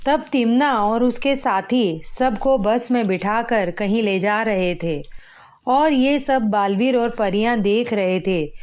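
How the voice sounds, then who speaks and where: Woman narrator, fairly high voice, slow pace, measured phrasing, scripted children's story. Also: a little mellow.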